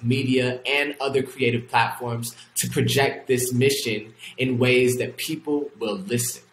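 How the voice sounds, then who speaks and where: A man hosts an arts show and welcomes the audience in an upbeat way. His pitch sits in the middle range. The recording has a roomy echo and faint background noise. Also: fairly bright tone. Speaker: host. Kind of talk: show opener and scripted guest intro.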